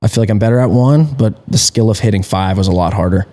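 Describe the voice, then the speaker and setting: A man's voice: fairly low pitch, fast, dark tone. A man in his early thirties, a pit crew tire changer, answering questions in an easygoing, laid-back way. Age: 30s. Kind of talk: casual interview.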